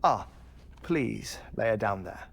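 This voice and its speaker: An actor playing an eccentric, courteous male scientist in a video game, polite, unhurried and calmly matter-of-fact. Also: on the low side, a little hiss or hum. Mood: wry, calm.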